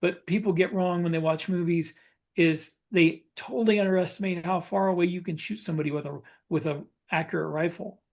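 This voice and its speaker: Higher-pitched man, podcast co-host. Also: fairly even intonation, brisk, creaky, a little mellow. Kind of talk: casual chat about guns and military life.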